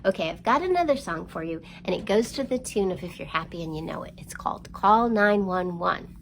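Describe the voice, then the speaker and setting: A woman presenting a storytime for young children, reading and explaining in an expressive, simple, encouraging way. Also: fairly low pitch, brisk, a little hiss or hum, low-quality recording. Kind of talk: read-aloud storytelling and instruction for young children.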